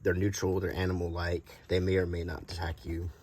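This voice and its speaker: A man presenting collectible cards in a casual, unscripted show-and-tell, halting and pausing often as he describes things. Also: low-pitched, completely flat delivery, darkish tone, husky. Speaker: host presenting vintage collectible game cards.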